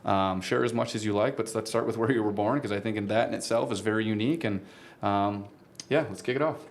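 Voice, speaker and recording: A man with a fairly low voice hosts a podcast conversation. He talks in long, measured phrases and pauses deliberately as he goes. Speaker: podcast co-host and golf business co-founder.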